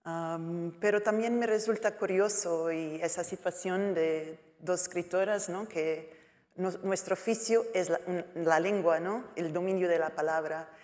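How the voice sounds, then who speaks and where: A woman speaking in her second language with a Portuguese accent, a writer on a panel, halting and searching for words. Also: lowish voice, fairly even intonation, darkish tone. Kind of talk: panel talk. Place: lecture hall. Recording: long hall reverb.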